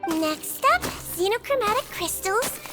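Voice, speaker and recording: Very high-voiced, earnest little nurse. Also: female voice, lively intonation, unhurried. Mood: instructive. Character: small gentle girlish nurse creature, earnest and sweetly matter-of-fact. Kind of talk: video game voice acting. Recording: music behind the voice.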